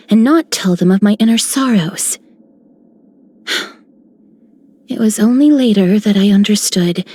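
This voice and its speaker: Poised, mid-pitched heroine. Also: female voice, darkish tone, husky. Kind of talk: video game character voice lines.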